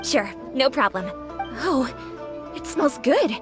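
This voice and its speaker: A woman voicing a bubbly, excitable young townswoman in an anime-style game, eager and exclamatory. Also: high-pitched, takes it slowly, music in the background, heavily acted. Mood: friendly.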